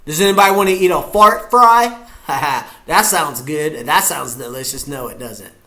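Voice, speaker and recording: Goofy high-voiced man reacting live. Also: moderate pace, pushed and bright. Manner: chatty, goofy, talks to viewers. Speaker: streamer watching a football game. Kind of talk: casual live reaction chat.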